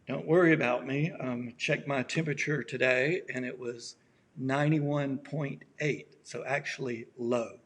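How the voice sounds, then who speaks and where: A raspy-voiced man preaches at a very unhurried pace, with long pauses between his phrases. His delivery is gentle and halting, and his throat sounds worn by allergies. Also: mid-range voice, steady tone. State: allergies acting up. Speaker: pastor. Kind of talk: slow reflective sermon.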